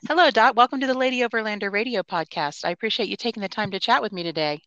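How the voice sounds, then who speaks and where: A woman's voice: mid-range voice, racing, fairly bright tone, dry recording, muffled recording. A woman from the United States hosting a podcast interview, upbeat and chatty. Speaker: podcast host.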